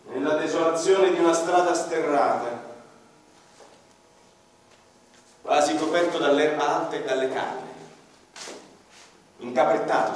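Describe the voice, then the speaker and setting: A male actor plays an embittered, rebellious old dead man telling his life in a theatrical radio drama monologue. The voice is fairly high and carries from a distance through a very reverberant hall. The delivery is emphatic and literary, with weighty pauses. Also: a little hiss or hum, natural voice. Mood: grim. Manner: theatrical, emphatic, deliberate pauses, swinging from loud to hushed. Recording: very echoey hall, distant microphone. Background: faint violin music.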